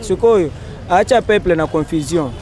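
A man's voice: high-pitched, normal speed, darkish tone, a little hiss or hum. A young man with a Congolese accent arguing his opinion with pointed questions in an outdoor street interview. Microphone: handheld mic.

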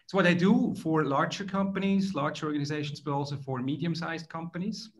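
A man's voice: fairly high pitch, a little fast. A middle-aged man from Switzerland with a Swiss accent, answering interview questions thoughtfully over a video call, often restarting phrases. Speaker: guest author. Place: plain room indoors.

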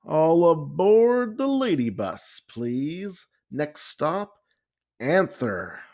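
A man's voice: on the high side, big pitch swings, drawn-out words, darkish tone, voice pushed up, exaggerated voice. A man voicing a cheerful, unflappable woman schoolteacher in a storybook, encouraging and singsong. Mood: happy, calm.

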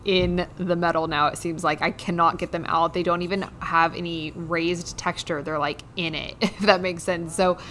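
Low-pitched woman, chatty voice-over. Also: flat delivery, normal speed, few pauses, fairly bright tone, a little hiss or hum, rough recording. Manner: conversational, chatty. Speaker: home cleaning vlogger. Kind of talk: casual voice-over explaining chores.

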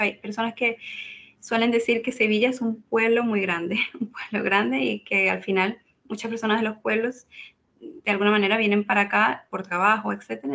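A young woman with a gravelly, fry-tinged voice chats as an interview guest. She talks in an easy, lively way, often breaking into laughter. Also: mid-range voice. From Venezuela (Caracas). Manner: chatty, lively, laughs easily. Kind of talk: casual interview chat.

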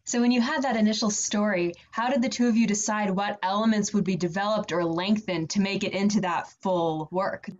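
A woman's voice: medium pitch, steady tone, brisk, few pauses. Young woman interviewer, lo-fi sound. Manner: clear, prepared questions. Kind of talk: video call interview. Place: indoor room. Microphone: video call. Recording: dull, lo-fi.

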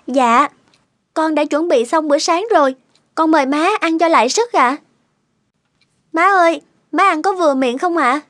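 A woman's voice: sits high, measured pace, long pauses, fairly bright tone, dry recording, studio quality. A woman playing a meek, eager-to-please young newlywed in a cartoon, speaking politely and hesitantly. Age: young adult. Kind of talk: cartoon dialogue. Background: background music.

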